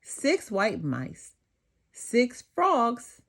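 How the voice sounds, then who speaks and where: A woman voicing a kindly fairy godmother in a storybook, calm and instructive as she gives a warning. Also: a little high, drawn-out words, gravelly, heavily acted. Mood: kindly firm.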